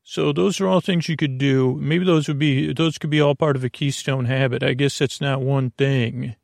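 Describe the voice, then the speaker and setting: Creaky-voiced, rambling male podcast host. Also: medium pitch, steady tone, moderate pace, a little mellow, no room sound, clean studio sound. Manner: meandering, hesitant, self-interrupting; whimsical, silly. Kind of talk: rambling bedtime podcast monologue.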